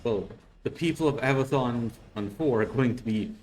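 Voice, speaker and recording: A man's voice: mid-range voice, moderate pace, a little mellow, soft background music. A man giving casual, unscripted reaction commentary as a host, stammering and repeating words as he goes.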